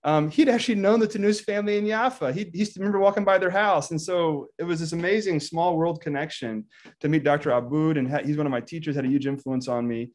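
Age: 30s. Sex: man. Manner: animated, laughing, somewhat high voice. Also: fast talker. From Texas, United States. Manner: animated, laughs often, many fillers and restarts. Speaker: guest scholar. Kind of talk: video call interview. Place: indoor room with plain wall. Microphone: video call webcam.

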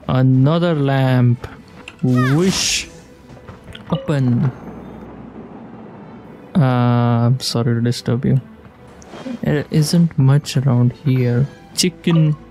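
Male gamer, casual reactive commentary, mid-pitched and mellow, thinking out loud over game music. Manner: musing aloud, short reactive remarks. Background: video game music.

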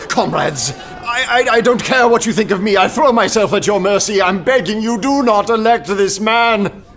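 An actor playing a frantic, outraged anarchist who feels betrayed, overwrought and emphatic in a radio play. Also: male voice, sits high, normal speed, a crowd in the background, close to an everyday voice. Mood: desperate pleading. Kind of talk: theatrical radio drama acting.

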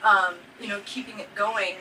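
A woman's voice: mid-range voice, fairly even intonation, normal speed, pushed and bright. A young woman hosting a vlog interview in a small boat cabin, asking questions in a friendly, enthusiastic way. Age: young adult. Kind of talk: casual interview. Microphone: camera across the table. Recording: distant camera mic with noticeable noise.

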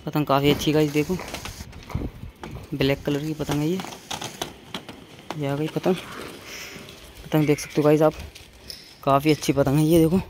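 A man's voice: fairly high pitch, level tone, normal speed, long pauses. A young man giving casual, repetitive running commentary to his viewers, speaking informally. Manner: informal, addresses viewers as friends, repeats phrases. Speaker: vlogger. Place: outdoors on open rooftops. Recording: noisy open-air handheld recording.

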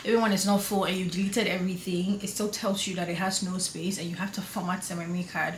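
A woman's voice: lowish voice, little pitch movement, quick pace, echoey room, rough recording. A woman in her twenties chatting upbeat to her viewers in a casual vlog, filmed on a camera at arm's length at home. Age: 20s. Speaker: vlogger. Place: bedroom and home office, indoors. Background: air conditioner hum.

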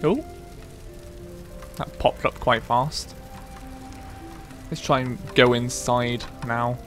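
Slow-paced man commentating a game. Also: medium pitch, steady tone, fairly bright tone. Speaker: let's play commentator. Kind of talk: casual gameplay commentary. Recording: game music behind the voice. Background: video game music.

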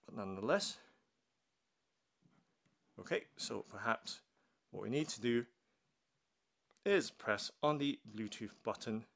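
A man with a fairly low, slightly creaky voice reviews a gadget in a casual, unscripted way. He narrates his own actions step by step, indoors at a table. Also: animated, takes it slowly, pauses often, fairly bright tone. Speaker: tech unboxing reviewer. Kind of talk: casual unscripted product demonstration.

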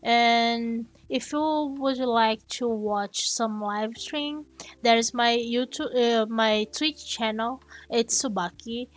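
A Brazilian woman whose first language is Portuguese, commenting slowly and haltingly in English as she plays and thinks aloud. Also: on the high side. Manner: slow, halting, thinking aloud while playing. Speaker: gaming channel host. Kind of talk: gameplay commentary.

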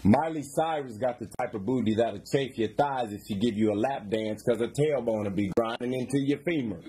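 A man's voice: mid-range voice, brisk. A man in his thirties telling crude jokes casually as a podcast host, irreverent and prone to chuckling. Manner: irreverent, chuckling between lines. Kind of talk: casual joke-telling, crude roast humour. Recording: clear background noise, clicks.

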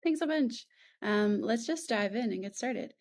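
Brisk woman moderating a video panel. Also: a little high, animated, darkish tone. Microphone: video call.

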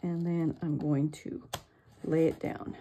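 Older lady showing a craft project, slow and low, kinda husky. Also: little pitch movement, warm tone. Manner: slow, chatty, self-deprecating. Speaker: craft tutorial host. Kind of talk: step-by-step craft demonstration. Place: indoors at a craft table.

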